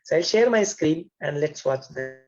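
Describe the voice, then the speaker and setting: A man leading a spiritual study session, calmly guiding his listeners. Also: a little high, normal speed. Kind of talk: spiritual lesson. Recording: dull lo-fi audio.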